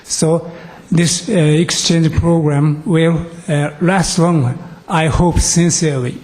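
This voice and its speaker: A man from Japan with a Japanese accent, giving a halting, formal and earnest speech in non-native English with frequent pauses. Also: a little high, fairly even intonation, darkish tone. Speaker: visiting student delegation leader. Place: council meeting room, public address.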